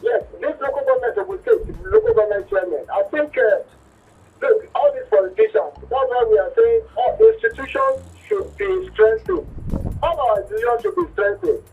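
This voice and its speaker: A man phones into a radio program, emphatic and pleading, tripping over repeated words. His voice is very high and swings widely in pitch. He sounds distant on the line, with faint music beneath. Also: sing-song, normal speed, creaky, phone-quality sound. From Nigeria. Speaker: caller.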